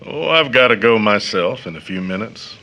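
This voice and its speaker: An actor playing a reserved young gentleman in an audio drama, speaking in a terse, understated way. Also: fairly low pitch, lively intonation, normal speed, low-quality recording. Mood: understated, dry. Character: John, reserved, easygoing young gentleman visitor. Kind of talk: stage play audio drama acting.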